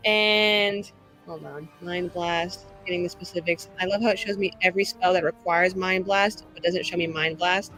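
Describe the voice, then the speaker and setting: A woman's voice: lowish voice, steady tone, moderate pace, fairly bright tone, faint music behind. Chatty woman player, casual cursing. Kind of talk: casual game talk.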